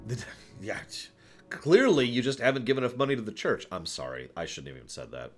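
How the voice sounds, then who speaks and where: A man hosting a livestream, answering viewer questions in casual, off-the-cuff commentary with an animated, playful way of talking. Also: mid-range voice, a little fast, music in the background. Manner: animated, chuckles through his points, acts out examples.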